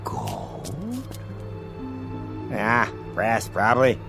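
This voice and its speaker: Radio drama, loud music: tired boozy scavenger, gravelly, slow, rambling and muttering. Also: male voice, lowish voice, very animated, full character voice. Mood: weary, muttering.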